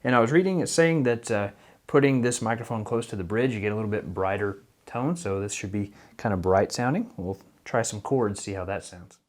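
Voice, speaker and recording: Low-voiced man, relaxed gear review. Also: fairly quick. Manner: relaxed, rambling with many fillers. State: slightly low voice, getting over an illness. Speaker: music teacher reviewing a microphone. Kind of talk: casual gear review and demo. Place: small, fairly dead room. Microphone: condenser microphone, no pop filter, varying distance.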